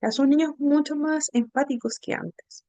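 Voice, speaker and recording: A woman with a Chilean Spanish accent giving an informal lecture as an instructor, halting as she searches for words and adding frequent tag questions. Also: high voice, dark tone, no room sound, highs cut off. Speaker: instructor of an inclusive education class.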